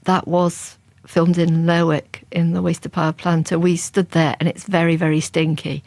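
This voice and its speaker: A woman's voice: fairly low pitch, little pitch movement, normal speed, a little mellow, no echo. A woman from England, a crime writer, answering interview questions in a reflective, conversational way. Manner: reflective and conversational, with frequent restarts. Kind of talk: interview guest.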